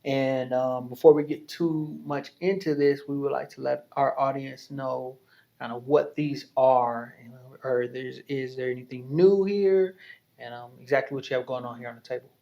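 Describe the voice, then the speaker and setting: A man hosts a podcast interview in an easy, talkative way. He keeps checking in with his guest through tag questions and encouraging remarks. His voice sits in a middle pitch. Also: steady tone, moderate pace, creaky, warm tone, muffled recording. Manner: chatty and encouraging, with many "right?" and "you know" tag questions. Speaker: podcast host. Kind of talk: casual interview hosting.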